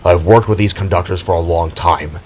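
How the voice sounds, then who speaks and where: Man acting a stern elder hero, knowledgeable, grave, lowish voice, glowing warrior, audio drama, faint background noise. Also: moderate pace, darkish tone, close to an everyday voice. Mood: serious. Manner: grave, explanatory.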